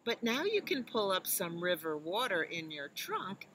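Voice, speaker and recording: Gentle storybook mama elephant, played by a woman, patient and instructive, unhurried with animated pitch. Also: slight background noise, low-quality recording. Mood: gently encouraging. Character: patient, gentle mother elephant teaching her little one.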